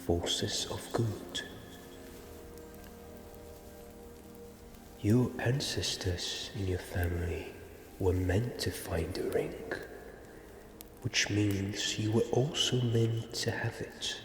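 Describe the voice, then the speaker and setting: A deep-voiced, wise old wizard played by a man murmurs gently in an ASMR fantasy roleplay, often dropping to a whisper. The words come very slowly, with long pauses, in an echoing, cavernous space. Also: music in the background. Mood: reassuring. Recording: echoey cavernous reverb, noisy ambience.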